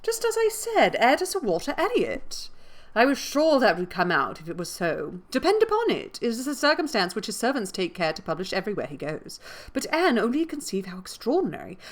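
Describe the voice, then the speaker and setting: A woman voicing an excitable, self-important young gentlewoman in a storybook, eager and insistent in manner. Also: a little high, quick pace, voice pushed up, full character voice. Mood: triumphant, gushing.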